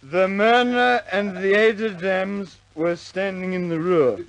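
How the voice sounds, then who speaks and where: A man's voice: high-pitched, steady tone, slow. An actor playing a drunk, boisterous RAF airman, rambling and stammering in 1950s war film style. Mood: proud, tipsy. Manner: rambling, stammering, repeating himself. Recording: noisy crowded room, old film soundtrack.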